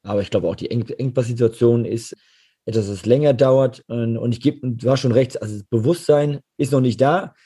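Hoarse male co-host, dark and husky, relaxed agreeable business chat. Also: mid-range voice, fairly quick. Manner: relaxed, agreeable, often affirming. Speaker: podcast co-host.

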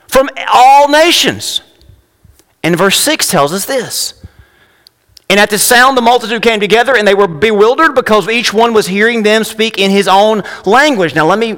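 A man's voice: sits high, a bit bright. A man preaching a conversational sermon, his voice rising with emphasis when he reads scripture. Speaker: pastor. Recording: long hall reverb.

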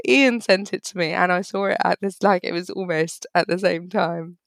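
A woman co-hosting a podcast, chatting casually about songs, her voice croaky as she loses it. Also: fairly low pitch, moderate pace, dry recording, studio quality. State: losing her voice. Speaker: podcast co-host. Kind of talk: casual music review chat.

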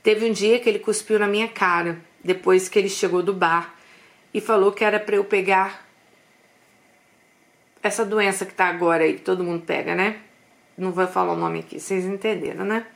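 A woman's voice: medium pitch, fairly even intonation, moderate pace, creaky. Woman, first-person storyteller, confiding tone, asides to the audience. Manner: confiding, with frequent "né" asides to listeners.

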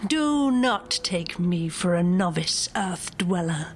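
A woman playing a stern, powerful warrior angel in an audio drama, commanding and deliberate. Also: fairly low pitch, animated, a little hiss or hum. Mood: stern, defiant.

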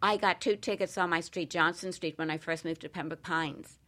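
A woman's voice: lowish voice, steady tone, a little fast, fairly bright tone. A woman speaking as a guest dream interpreter in a casual panel chat, answering with firm emphasis.

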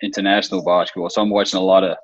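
A man's voice: low voice, flat delivery, rapid-fire, dull audio, slight background noise. A man, a basketball coach talking steadily in an interview and repeating words for emphasis. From Australia. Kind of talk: casual interview talk.

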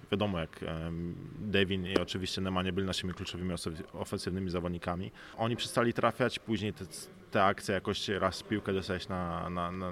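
A man with a fairly deep voice gives an analytical sports interview. He explains his points thoughtfully and checks for agreement with short tag questions. Background noise is clearly present. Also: a bit bright. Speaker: professional basketball player, interview guest. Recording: clearly noisy background ambience.

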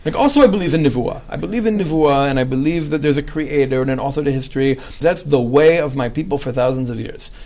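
A man's voice: a little high, fast talker, few pauses, dark tone. A man hosting a podcast interview, talking earnestly and at length, with many "like" fillers. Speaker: podcast host. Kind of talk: conversational interview.

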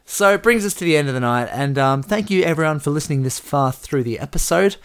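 A man co-hosting a casual podcast, chatty and rambling, his voice a little croaky. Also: on the high side, lively intonation, fast talker, a bit bright. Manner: chatty, rambling with restarts and laughs. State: slightly croaky voice. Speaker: podcast co-host. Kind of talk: casual chat.

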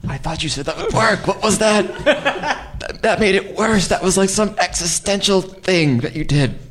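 A man in improvised radio theater playing a pushy, easily flustered young schemer who stammers and repeats himself excitedly. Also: high-pitched, normal speed. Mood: exasperated. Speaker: improv comedy performer.

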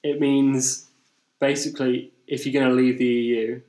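This is a young man with an English accent. He chats casually and tells anecdotes as a podcast host. His pitch is middling, and the recording is clearly roomy and echoing. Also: level tone, moderate pace, mellow. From England. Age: young adult.

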